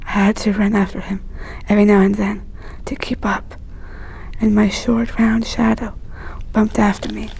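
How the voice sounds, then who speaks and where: A woman reading a children's story aloud in a hushed, breathy, whispery storytelling voice. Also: mid-range voice, completely flat delivery, normal speed, rough recording.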